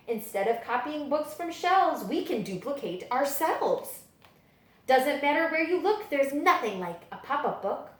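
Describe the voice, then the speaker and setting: A woman reading children's picture books aloud as a story-time librarian, with dramatic, expressive storytelling. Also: on the high side. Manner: dramatic, animated storytelling delivery. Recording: echoey room.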